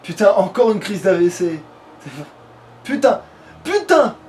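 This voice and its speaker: A man's voice: sits high, big pitch swings, slow pace, darkish tone. A man commenting casually on his game, with crude joking slang. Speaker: let's player. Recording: noisy home recording.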